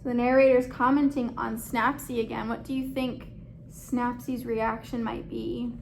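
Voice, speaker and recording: A woman reading a children's story aloud as a teacher, pausing to put questions to young listeners. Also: a little high, little pitch movement, creaky, a little hiss or hum.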